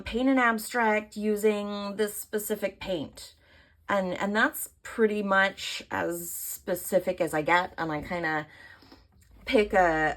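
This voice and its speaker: A young woman vlogs about her art in a relaxed, rambling way, filling her thoughts with small pauses. Her voice sits a little low and comes out hoarse and rough. She sounds like she is musing aloud more than giving a prepared talk. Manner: chatty, thinking aloud with fillers. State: hoarse from allergies. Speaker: artist vlogger. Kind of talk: casual talk to camera.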